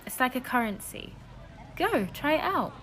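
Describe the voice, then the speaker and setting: A woman's voice: high-pitched, sing-song, normal speed, a bit bright, a little hiss or hum, rough recording. A woman playing a knowing, secretly scheming young guide in an audio drama, with a probing, insistent manner. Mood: pleading.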